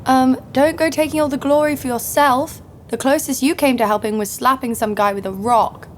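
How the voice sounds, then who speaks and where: A woman's voice: sits high, moderate pace, a little hiss or hum, lightly acted. A woman playing a tough, cynical bounty hunter who narrates her exploits in an audio drama, sardonic and cool. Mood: cold. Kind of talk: first-person scripted drama narration. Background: music.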